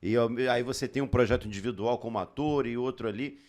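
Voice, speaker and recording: A man hosting a podcast who asks his guest questions in a halting, casual way, restarting his phrases. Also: mid-range voice, darkish tone. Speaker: podcast host and journalist.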